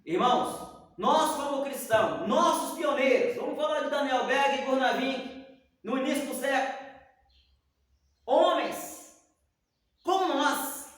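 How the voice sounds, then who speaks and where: High-voiced preacher in an echoey hall. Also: male voice, slow, long pauses. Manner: animated storyteller acting out dialogue. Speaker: Sunday school teacher. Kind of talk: church Bible lesson with storytelling. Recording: echoey hall, distant mic.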